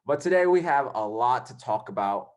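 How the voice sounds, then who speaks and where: A man's voice: medium pitch, expressive, normal speed. A young man hosting a casual podcast, rambling with many fillers and restarts. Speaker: podcast host.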